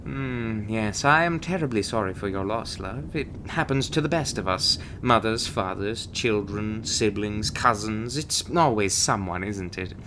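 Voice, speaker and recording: The seasoned agent who interviews recruits behind a warded door in a monster-hunting audio drama, slow, theatrical and condescendingly suave, every sentence swooping, acted out by a man. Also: lowish voice, animated, noisy background. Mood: wistful, darkly fond. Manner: theatrical, drawling, calls listener darling and doll. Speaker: voice actor in an audio roleplay. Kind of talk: scripted ASMR roleplay acting.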